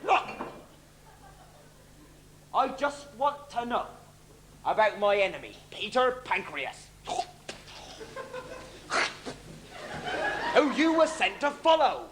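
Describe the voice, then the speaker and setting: An amateur actor playing a blustering, theatrical pirate captain as a comic pantomime villain, bellowing to the audience on a live stage. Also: male voice, high voice, very animated, slow, pauses often, exaggerated voice. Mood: exasperated. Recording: noisy live stage recording.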